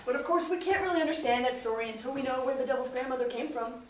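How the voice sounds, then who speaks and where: A woman's voice: high voice, racing. A woman narrating in a mock-dramatic, theatrical storytelling style. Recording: echoey noisy room, distant mic.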